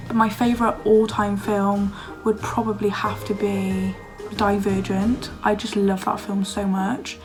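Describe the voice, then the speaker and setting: Chatty young woman with a hoarse voice. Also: medium pitch. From Wales. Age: young adult. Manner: chatty, lots of "like", laughs often. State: slightly hoarse, losing her voice. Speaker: vlogger. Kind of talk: casual Q&A chat. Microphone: phone camera.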